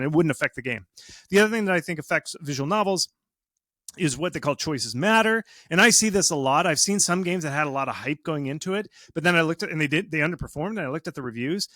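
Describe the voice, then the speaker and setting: A man giving advice as a guest expert in a casual interview, speaking in an animated, informal, self-deprecating way. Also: on the high side, no room sound. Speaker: guest game marketing expert. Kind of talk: casual interview talk, explaining and advising.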